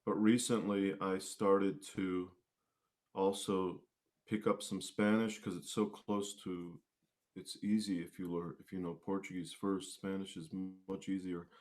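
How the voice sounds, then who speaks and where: A man chatting casually as an interview guest, with hesitant, halting phrasing. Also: deep voice, little pitch movement, darkish tone. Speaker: guest, musician and martial arts instructor.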